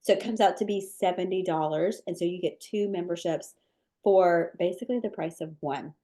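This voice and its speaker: Low-voiced woman answering live questions. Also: moderate pace. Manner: relaxed, chatty, many ums and likes. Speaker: cookie decorating teacher answering viewer questions. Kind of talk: live webinar Q&A.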